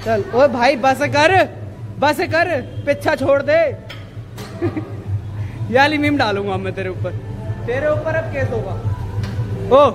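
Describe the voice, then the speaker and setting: A man's voice: extremely high pitch, background noise. Joking young man filming a gym vlog. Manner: joking, teasing, laughs often, calls out to friends. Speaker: vlogger. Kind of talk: casual gym vlog chat. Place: large indoor gym. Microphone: phone camera held at arm's length. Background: gym music.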